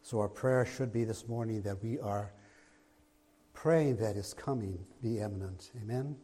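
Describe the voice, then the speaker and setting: A man with a raspy voice preaching a sermon as pastor in a church, going from a soft low opening to loud emphatic delivery. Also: a little slow. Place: church sanctuary, streamed online.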